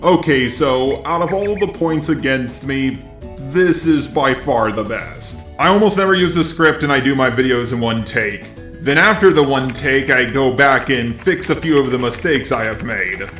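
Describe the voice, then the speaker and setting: A synthetic text-to-speech man's robot voice delivering flat, self-deprecating commentary. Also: mid-range voice, normal speed. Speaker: YouTube commentator responding to criticism. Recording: echoey, faint music behind.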